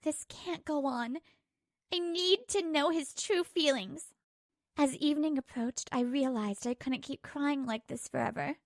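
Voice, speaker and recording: A woman's voice: high voice, measured pace, no echo, clean studio sound. A woman playing a hurt, betrayed young wife who narrates her troubles emotively in a manga dub. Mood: sad. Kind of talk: dramatised first-person manga dub narration.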